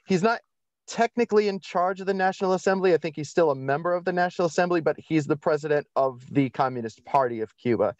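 A man's voice: medium pitch, a little mellow, no echo. A man speaking as a podcast guest, telling and explaining things in a conversational, emphatic way and often correcting himself. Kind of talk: conversational interview storytelling. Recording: slightly dull lo-fi audio.

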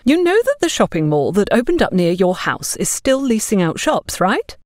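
A woman's voice: mid-range voice, big pitch swings, normal speed, a little mellow, no echo, studio quality, close to an everyday voice. A woman voicing an overbearing, gossipy, smugly condescending woman in an audio story, talking down to others in an effusive way. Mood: beaming. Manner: bossy, effusive, talks down to others.

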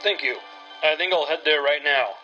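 An actor playing a fussy, weary city gentleman in a radio drama, polite and formal in manner. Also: a little high, moderate pace, lo-fi sound, soft background music. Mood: weary exasperation. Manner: polite, formal, put-upon. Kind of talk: old-time radio drama acting.

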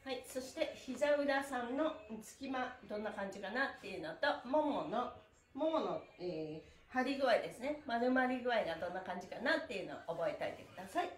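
A middle-aged woman with a high, bright-hearted, cheerful delivery teaches stretching. She is polite and encouraging as she guides the movements. The room adds a clear echo around her voice, picked up a little way from the camera. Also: expressive, brisk. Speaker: stretching instructor and pantomime performer. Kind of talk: live-streamed exercise lesson with counting chants. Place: small indoor room on an exercise mat. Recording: roomy echo from camera distance.

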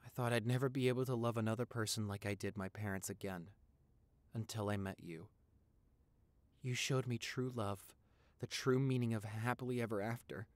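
A man playing a loving, sentimental vampire boyfriend in a romantic audio roleplay, speaking tenderly and haltingly with open emotion. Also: lowish voice, steady tone, dry recording, natural voice. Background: background music.

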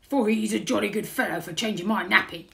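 Woman voicing a loud, rough-spoken storybook burglar man. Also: mid-range voice, brisk. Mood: coaxing. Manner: colloquial, ungrammatical working-class speech. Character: gruff, rough-spoken but kindly male burglar. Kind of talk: children's storybook character voice.

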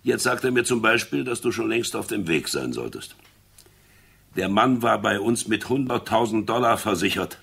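An actor playing a jovial, wryly needling cigar-smoking boss in a crime radio drama. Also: male voice, lowish voice, animated, moderate pace, gravelly, a bit bright, husky, full character voice. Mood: wry teasing.

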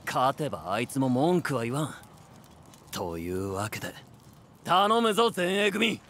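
An actor playing an arrogant, commanding young strategist in an anime voice drama. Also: male voice, mid-range voice, sing-song, a little slow, a bit bright, a little hiss or hum. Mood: haughty scheming. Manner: haughty, commanding. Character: arrogant, ruthless young warlord strategist commanding his squad.

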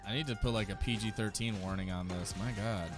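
A man's voice: on the low side, steady tone, fairly quick. A man in his thirties giving enthusiastic, slangy live reaction commentary into a close mic. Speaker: streamer reacting to game trailers. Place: small home streaming room. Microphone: close desk microphone. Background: faint game trailer music.

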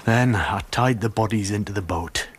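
An actor as low-voiced haunted murderer confessing. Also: male voice, normal speed, a little hiss or hum, close to an everyday voice. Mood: bitter, tormented. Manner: bitter, haunted, dramatic confession. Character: guilt-ridden, jealous ship's steward confessing to murder. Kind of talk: radio drama monologue.

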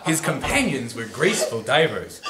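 A young man reading a picture book aloud to friends with a playful, animated delivery. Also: mid-range voice, normal speed. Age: young adult. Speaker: storyteller. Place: small apartment living room with kitchen. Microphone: camera a few metres away. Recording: echoey room, clear noise, distant camera mic.

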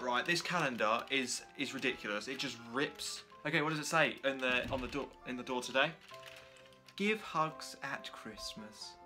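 A young man, chatting casually and informally to camera as a vlogger, rambling in an animated way. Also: medium pitch. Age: young adult.

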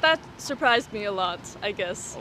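A woman's voice: mid-range voice, expressive, a little slow. A young woman from Austria whose first language is German, chatting casually in a street interview on a city sidewalk, speaking into a handheld mic. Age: 20s. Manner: chatty, laughs easily, fillers like "like". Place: city sidewalk outdoors by a road. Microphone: handheld mic held by interviewer. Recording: outdoor street noise with traffic.

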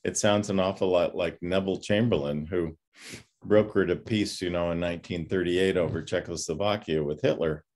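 An older man in his sixties, a pastor giving an informal class talk over a video call, reflective and halting with many pauses. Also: deep voice, fairly even intonation, a little mellow. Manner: halting, reflective, often pausing. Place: home study with bookshelves, on a video call. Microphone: computer microphone on a video call.

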